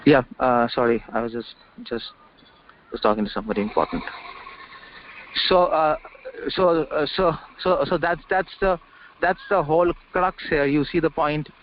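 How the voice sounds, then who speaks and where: A man's voice: a little high, normal speed, slight background noise. A man giving an informal scripture lecture over a conference call, repeating words and asking rhetorical questions. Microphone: conference call line. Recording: dull dry conference call audio.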